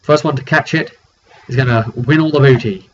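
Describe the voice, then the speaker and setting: A man's voice: mid-range voice, normal speed, dull audio, natural voice. A man voicing a rowdy, commanding ship captain in a tabletop roleplaying tale, rousing a crowd. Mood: rousing, boisterous.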